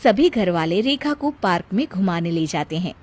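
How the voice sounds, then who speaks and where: A woman's voice: fairly low pitch, lively intonation, normal speed, a little mellow. A woman narrating a scripted family story as its storyteller.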